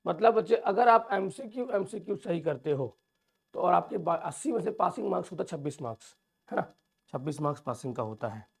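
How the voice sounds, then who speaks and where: A man with a sore, hoarse throat, walking students through an exam paper and calling them children. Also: sits high, expressive, brisk. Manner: addresses viewers as children, reassuring. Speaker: teacher. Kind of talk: exam overview lesson.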